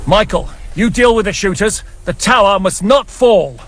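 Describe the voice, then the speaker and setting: An actor playing a wry, battle-hardened mercenary captain in a game, speaking in a measured, world-weary way. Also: male voice, high-pitched, lively intonation, loud music behind the voice. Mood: commanding. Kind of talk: dramatic game dialogue.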